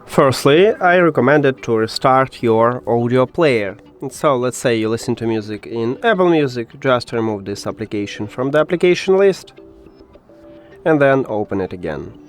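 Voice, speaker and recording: A man's voice: mid-range voice, animated, moderate pace, faint music behind. A man hosting a step-by-step tech tutorial in an instructional way, with slightly non-native phrasing.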